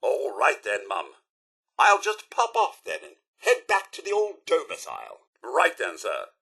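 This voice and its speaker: A man's voice: mid-range voice, wildly swooping pitch, a little slow, creaky, pushed and bright, breathy edge, exaggerated voice. A man playing a prim, devoted robot butler in a video game, fussy and formally polite, with a British accent. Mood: courteous. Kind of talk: video game character dialogue.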